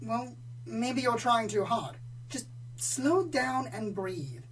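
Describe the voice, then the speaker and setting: Woman voicing a prim, high-pitched theatrical pony lady. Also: lively intonation, takes it slowly. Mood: exasperated, advising. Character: prim theatrical female pony performer, easily exasperated. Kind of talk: audio drama acting. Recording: distant mic, noisy, humming.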